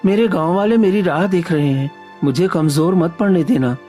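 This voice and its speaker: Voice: mid-range voice, normal speed, warm tone, faint music behind, natural voice. Earnest, honest young village man, acted out. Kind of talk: acted dialogue in Hindi moral story.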